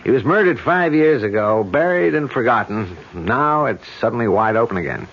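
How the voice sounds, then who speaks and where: An actor playing a self-assured, joking police detective, in a radio drama. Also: male voice, mid-range voice, expressive, normal speed. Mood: breezy. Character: cocky male police detective. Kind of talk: 1970s radio drama acting. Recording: dull old radio recording.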